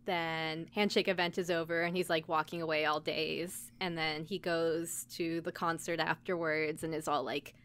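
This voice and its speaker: A woman's voice: low voice, flat delivery, normal speed, hardly any pauses, a bit bright, smooth. A woman podcast co-host telling a story in casual chat, informally, with lots of "like" and quoted asides. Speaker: podcast co-host recapping an anime episode. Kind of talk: casual chat, episode recap.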